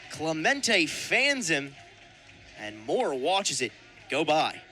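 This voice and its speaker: Bright-voiced young male baseball announcer. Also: on the high side, very animated, slow, faint music behind. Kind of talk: live radio sports commentary.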